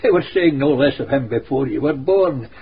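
An actor playing a shrewd, wry Scottish villager telling a gossipy tale in a radio drama. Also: male voice, mid-range voice, animated, moderate pace, natural voice. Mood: reassuring. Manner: storytelling, folksy. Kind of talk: radio drama narration and dialogue. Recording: dull, noisy old radio drama recording.

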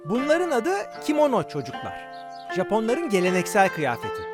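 A woman's voice: mid-range voice, huge pitch swings, moderate pace. A woman voicing a kind, knowledgeable mother in a children's cartoon, explaining things to children in an instructive way. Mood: kindly, explaining. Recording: music under the voice.

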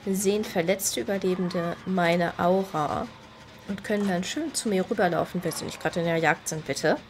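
A woman's voice: fairly low pitch, a little hiss or hum. A woman with a stuffy, slightly nasal voice from a cold, chatting casually while she plays and explains game mechanics. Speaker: gaming video creator. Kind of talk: casual gameplay commentary.

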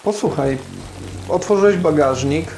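A man's voice: on the high side, a little slow, darkish tone. A man running a tabletop role-playing game as game master, narrating scenes off the cuff in casual, plain language. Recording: roomy echo, music behind. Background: background music.

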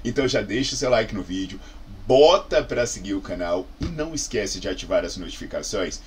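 A man's voice: fairly low pitch, fairly quick, breathy edge. A man in his forties with a Brazilian accent, giving an informal, conversational explainer to camera with rhetorical questions. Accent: Brazilian Portuguese. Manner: animated, conversational, frequent "né" tags and rhetorical questions. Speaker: fitness science YouTuber, presenter. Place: small indoor office with bookshelves.

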